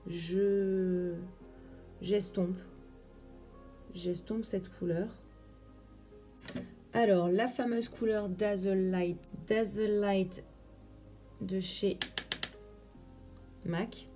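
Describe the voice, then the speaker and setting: A woman's voice: mid-range voice, steady tone, drawn-out words, pauses often, warm tone, faint music behind. A young woman chatting through a makeup tutorial, explaining each step as she works. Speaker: beauty vlogger.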